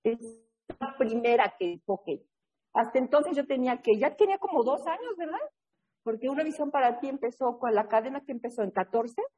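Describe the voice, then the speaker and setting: A woman from New England with a fairly high voice leads an online recovery group. She tells her stories in a lively, chatty way and keeps checking in with the group. She is heard over a video call. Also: a little fast, breathy edge, highs cut off. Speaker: recovery workshop leader and sponsor. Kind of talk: casual storytelling talk in an online support meeting.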